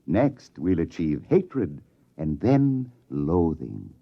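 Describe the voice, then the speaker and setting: Cynical male psychoanalyst, husky, brusque, condescending, old radio drama, dull muffled recording. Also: a bass voice, sing-song, extremely slow, full character voice. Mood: smug, commanding. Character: cynical, unorthodox male psychoanalyst, domineering and dryly amused. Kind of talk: old-time radio science fiction acting.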